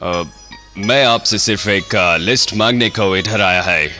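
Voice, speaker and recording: Dogged, halting British police commissioner. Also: male voice, lowish voice, bright, forceful tone. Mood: hesitant, deferential. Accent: British-style accented Hindi, put on. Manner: halting, formal, mixes in English words. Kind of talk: radio play acting. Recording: music behind the voice.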